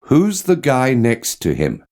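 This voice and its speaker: A man reading lines of English course dialogues with expressive, questioning intonation. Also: medium pitch, slow. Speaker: dialogue reader in a language course. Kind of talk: slow, clearly read textbook dialogue.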